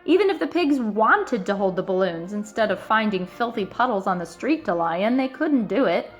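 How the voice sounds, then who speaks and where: A woman reading a children's picture book aloud in an expressive, emphatic way, stretching out key words. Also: mid-range voice, moderate pace, soft background music. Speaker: storybook reader.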